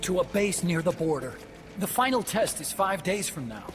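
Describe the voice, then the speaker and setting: Casting: an anxious young scientist, slightly high voice, fast explanatory delivery, music under the scene. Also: male voice, lively intonation. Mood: briefing. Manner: quick, explanatory, turns subdued and hesitant. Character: young male scientist, nervous, guilt-ridden. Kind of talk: video game cutscene acting.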